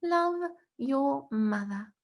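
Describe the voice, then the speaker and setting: Woman voicing a sad mother, storybook, tender, reassuring, high voice, creaky. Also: lively intonation, extremely slow, heavily acted. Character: caring mother writing sadly and tenderly to her son.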